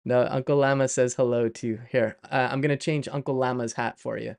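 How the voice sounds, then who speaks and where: A man's voice: medium pitch, fairly even intonation, a little fast, vocal fry, darkish tone, smooth. A middle-aged man with a Canadian accent, chatting casually on a live stream, laughing often and full of fillers and restarts. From Canada (Montreal).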